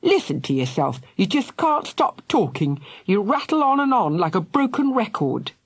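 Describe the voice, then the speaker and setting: Woman doing a furious little brother in a storybook, sneering, husky, pitch jumping wildly. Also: very animated, moderate pace, no room sound, clean studio sound. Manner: snarling, shouting insults.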